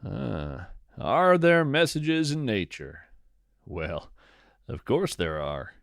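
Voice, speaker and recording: A man narrating a scripted podcast as its host, in a measured, reflective way. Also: mid-range voice, big pitch swings, gravelly, no echo. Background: plucked guitar music.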